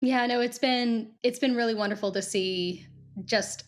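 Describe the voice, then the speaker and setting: A woman's voice: on the high side, normal speed. A young woman from Kuwait, a guest author, chatting casually in an interview with lots of fillers. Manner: chatty, frequent fillers, laughs easily. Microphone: video call.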